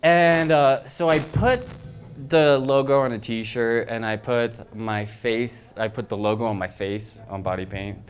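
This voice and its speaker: Animated young man telling a story on a mic. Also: medium pitch, unhurried, a little hiss or hum. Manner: rambling, animated storytelling with many fillers. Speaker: design agency founder giving a talk. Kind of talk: casual presentation to an audience. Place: event room with reverb. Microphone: handheld microphone.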